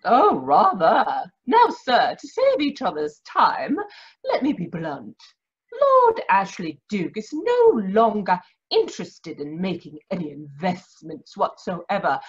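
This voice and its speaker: Lady doing a bitter bossy aunt, rough husky voice, very animated, haughty, radio drama, bit lo-fi. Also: medium pitch, heavily acted. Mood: haughty, icy. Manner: domineering, scornful. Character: haughty, bitter, sharp-tongued middle-aged aunt, vaudeville lady. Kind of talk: 1940s radio drama acting.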